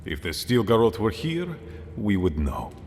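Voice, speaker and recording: Very deep, solemn council elder. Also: male voice, huge pitch swings, darkish tone, a little hiss or hum. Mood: grave, measured. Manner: formal, deliberate, solemn. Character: grave, measured male council elder, thoughtful and regretful. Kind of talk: dramatic fantasy game acting. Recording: long hall reverb.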